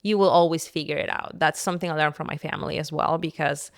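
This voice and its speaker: Smooth-voiced woman, lower pitched. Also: steady tone, fast talker, no room sound, studio quality. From Peru. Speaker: podcast guest, entrepreneur and content creator. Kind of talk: personal storytelling in casual conversation.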